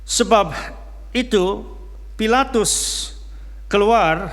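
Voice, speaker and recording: A man's voice: high voice, fairly bright tone, long reverb tail. A man preaching in Indonesian with a non-native accent, his first language English, leading a prayer and reading scripture aloud with frequent hesitations. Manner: slow, halting, with frequent hesitations. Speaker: guest preacher.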